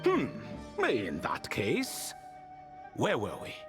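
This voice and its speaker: A man's voice: mid-range voice, wildly swooping pitch, extremely slow, music in the background, exaggerated voice. An actor playing an ancient, proud, whimsical spirit king in a video game, with a grandiose, theatrical, riddling way of speaking. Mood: theatrical storytelling. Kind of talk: game character dialogue.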